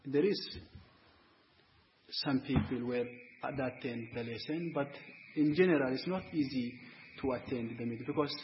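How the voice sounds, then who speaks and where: A man's voice: medium pitch, slight background noise. A man from Ethiopia, a student speaking at a panel discussion in broken phrasing with many fillers. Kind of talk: unscripted discussion. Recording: dull lo-fi recording, faint ambience.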